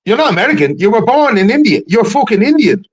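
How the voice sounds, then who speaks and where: High-pitched Irish man arguing at full tilt. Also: big pitch swings, fast talker, husky, no room sound. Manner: blunt, argumentative, swearing, repeats himself for emphasis. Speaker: podcast panellist. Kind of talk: heated panel debate.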